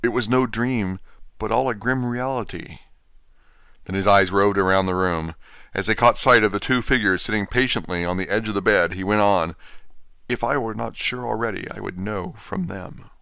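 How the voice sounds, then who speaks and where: A man's voice: lowish voice, normal speed, creaky, pushed and bright, dry recording. A man voicing a dying asylum patient, weak and frantic, pleading in urgent gasps. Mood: anguished. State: weak, near death.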